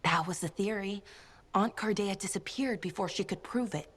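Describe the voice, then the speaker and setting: An actress playing an impulsive, spirited young female pilot in a video game, talking brashly and quick to indignation. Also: mid-range voice, fairly bright tone, breathy edge.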